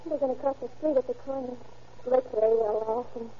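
A woman's voice: on the high side, fairly even intonation, brisk, slight background noise, lightly acted. A woman playing a sweet young sweetheart, old radio. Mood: wistful. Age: young adult. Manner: earnest, wistful, gushing. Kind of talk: 1940s radio acting. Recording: tinny lo-fi old radio broadcast.

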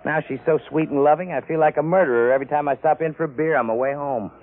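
A put-upon American husband of old radio drama, acted by a man, grumbles wryly in a somewhat high male voice. The recording is thin and narrow, like a vintage broadcast. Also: fast, lightly acted. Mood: weary, wry grumbling. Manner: grumbling, wry. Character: weary henpecked husband, wry and put-upon. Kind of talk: 1950s radio acting.

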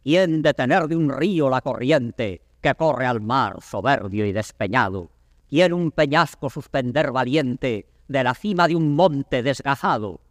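A man's voice: mid-range voice, expressive, measured pace, no echo, clean studio sound, close to an everyday voice. An actor playing a stern, embattled old king, declaiming classical Spanish verse in a solemn manner. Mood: grave, foreboding.

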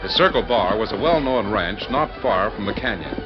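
A man's voice: lowish voice, lively intonation, moderate pace. A man narrating a radio western in a formal, dramatic announcer style. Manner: formal, crisp storytelling delivery. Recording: muffled old radio recording. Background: music.

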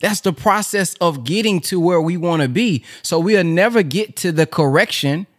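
A man's voice: high voice, animated, normal speed, no room sound, clean studio sound. A man hosting a podcast, casually following up on his guest's point. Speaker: podcast host. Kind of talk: casual discussion.

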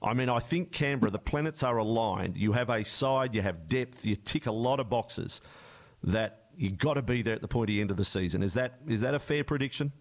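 A man hosting a sports radio interview, asking deliberate, emphatic questions. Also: medium pitch.

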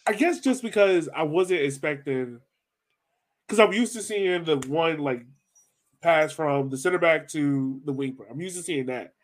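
A man's voice: fairly high pitch, animated, unhurried. A man talking casually as a soccer podcast host, informal and thinking aloud as he goes. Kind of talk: casual soccer post-match discussion.

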